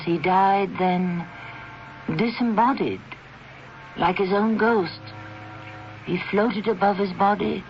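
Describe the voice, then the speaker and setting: A man narrating a scripted 1970s radio mystery as host, in a measured, theatrical, rhetorical manner. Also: high voice. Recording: muffled, noisy 1970s radio broadcast.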